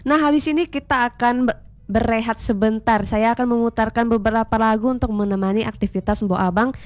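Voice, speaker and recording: A woman's voice: fairly high pitch, fairly even intonation, fairly quick, no room sound. Chatty woman radio host, dry sound. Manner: chatty, addresses listeners directly. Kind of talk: casual radio chat.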